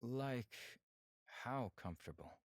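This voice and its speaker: An actor playing a calm, caring alien man in a visual novel, speaking in a measured, earnest way. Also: mid-range voice, gravelly, no room sound, studio quality, heavily acted. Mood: curious, probing. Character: calm, caring male alien, protective symbiont host.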